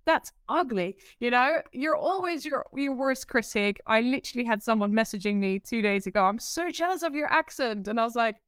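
A Dutch-accented woman with a slightly high voice, chatting as a podcast guest about her work. She talks expressively and giggles often. The sound is dry and close, with no room echo. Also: expressive, a little fast, hardly any pauses, clear, resonant tone. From Netherlands. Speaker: presentation coach, guest. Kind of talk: casual podcast interview.

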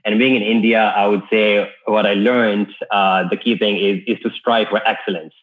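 Male guest, from Kenya, low-pitched, easygoing, heard down a tinny call line. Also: fairly even intonation, moderate pace. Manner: easygoing, with frequent restarts. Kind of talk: casual podcast interview.

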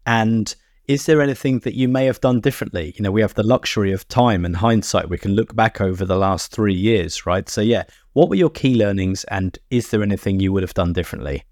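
A man's voice: on the low side, a little fast, a little mellow, dry recording, clean studio sound. A man hosting an interview podcast, asking questions in a conversational way.

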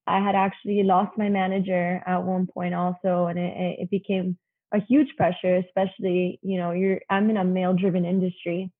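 A young woman in her twenties with a youthful, slightly husky voice, talking casually as an interview guest. Her speech is full of "you know" fillers, and the sound comes through a thin, phone-like line. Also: lowish voice, little pitch movement, normal speed. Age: 20s.

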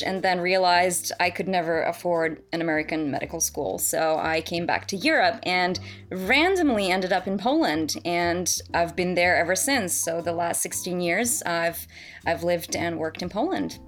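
A woman's voice: fairly low pitch, moderate pace, a bit bright, faint music behind. A woman in her thirties from Serbia, a surgeon guest chatting casually on a podcast, thoughtful and hesitant with many ums and restarts.

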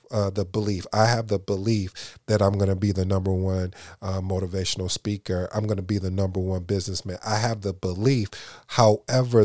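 A man with a low, dark, mellow radio voice delivers a motivational podcast monologue. He preaches to his listeners as "family," restating and repeating his points. The sound is close and dry, with no room around it. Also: no echo. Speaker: podcast host.

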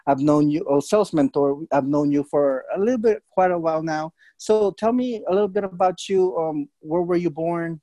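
A man of Filipino origin hosts a podcast in a friendly, halting way. His voice sits a little high yet sounds mellow. The sound is dry and close, with no room echo. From Philippines. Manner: friendly, halting, many fillers. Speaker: podcast host. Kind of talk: casual interview hosting.

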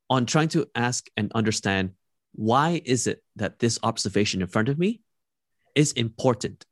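Low-voiced thoughtful man. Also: no room sound, clean studio sound. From Malaysia. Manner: thoughtful, measured, frequent "like" and restarts. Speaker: podcast guest. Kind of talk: reflective interview answers.

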